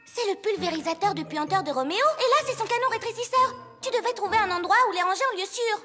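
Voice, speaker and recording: Small child, very high voice, children's cartoon dubbing, music underneath. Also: expressive, fast talker. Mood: stern.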